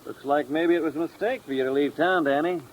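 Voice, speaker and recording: A man's voice: fairly high pitch, fast talker, lightly acted, poor audio. An actor playing a friendly, gossipy small-town gas station man in a 1950s radio drama. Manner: folksy, gossipy. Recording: old radio recording, faint noise.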